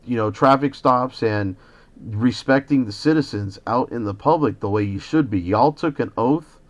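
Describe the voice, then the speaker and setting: An American man, a citizen journalist on a phone call, arguing in an indignant, lecturing manner as he confronts the police. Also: medium pitch. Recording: dull lo-fi phone call recording.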